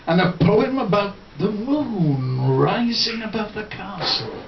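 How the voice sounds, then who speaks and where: Slow, high-voiced man reciting poetry. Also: sing-song, creaky, a crowd in the background. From Wales. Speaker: poet. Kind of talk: live poetry reading with spoken introduction. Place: live reading in a bar. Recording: roomy, distant, muffled, faint noise.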